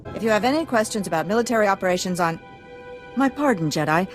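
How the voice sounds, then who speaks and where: A woman's voice: medium pitch, lively intonation, fast, faint music behind, lightly acted. A woman acting a dutiful military officer in a video game, formal and courteous.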